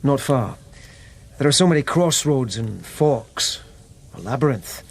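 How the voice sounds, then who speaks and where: An actor playing a loyal, level-headed police lieutenant in a radio drama, calm, careful and deferential. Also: male voice, medium pitch, unhurried, breathy edge. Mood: matter-of-fact.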